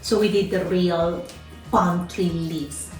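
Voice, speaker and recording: Calm Peruvian woman recounting memories. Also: fairly low pitch, slow pace, roomy sound, recorded from a distance, soft background music, poor audio. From Peru. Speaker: guest. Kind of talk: casual chat.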